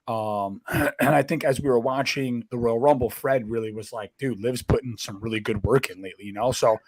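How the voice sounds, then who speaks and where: Guy on a sports podcast, hoarse lost voice, keeps clearing throat, dry close sound. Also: mid-range voice, steady tone, a little fast, no echo. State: hoarse from laryngitis. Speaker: wrestling podcast co-host. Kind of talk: casual sports chat.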